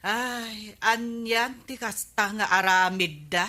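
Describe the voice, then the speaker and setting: A woman's voice: mid-range voice, slow pace, sharp tone. A woman with an Ilocano Filipino accent playing a curious, sympathetic village friend in a radio comedy drama. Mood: curious, concerned.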